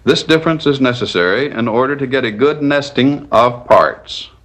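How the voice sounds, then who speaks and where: A man narrating a scripted 1940s instructional film in a measured, didactic, step-by-step manner. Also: medium pitch, fairly bright tone.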